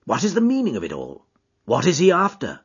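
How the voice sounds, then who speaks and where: A male reader acts an earnest, loyal gentleman in a dramatised audiobook. He sounds anxious and questioning, with formal wording, a husky tone and lively rises in pitch. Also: brisk, dull audio, full character voice. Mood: earnest curiosity. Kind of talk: dramatised audiobook character dialogue.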